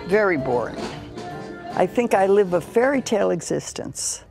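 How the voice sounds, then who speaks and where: A woman's voice: mid-range voice, very animated, normal speed, creaky, music in the background. A woman in her eighties, blunt and feisty, interviewed aboard a cruise ship. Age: 80s. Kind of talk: casual interview.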